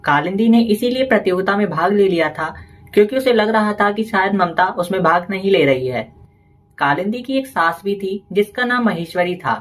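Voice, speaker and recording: Female narrator, mid-pitched, scripted storytelling delivery. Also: expressive, moderate pace.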